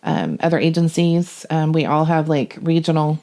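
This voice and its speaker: A woman's voice: low-pitched, lively intonation, normal speed, dark tone. A middle-aged woman speaking plainly and matter-of-factly in a conversational interview as a police dispatcher describing her work.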